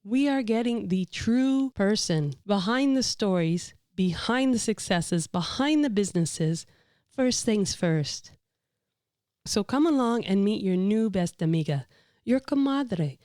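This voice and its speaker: An upbeat woman hosts a podcast interview, dropping Spanish words into her English. Her voice sits at a middle pitch and sounds close and dry, with no room around it. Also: warm tone, no room sound. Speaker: podcast host.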